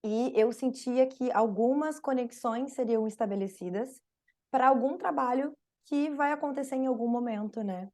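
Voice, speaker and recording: A Brazilian woman with a Brazilian Portuguese accent, a podcast guest telling a story in casual conversation, full of fillers. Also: on the high side. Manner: warm storytelling with frequent fillers.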